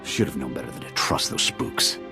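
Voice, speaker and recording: Deep-voiced, gruff military officer. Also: male voice, sing-song, a little fast, over music, exaggerated voice. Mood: irritated. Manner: clipped military speech, blunt. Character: gruff, loyal male army sniper officer, blunt and protective. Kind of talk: video game voice acting.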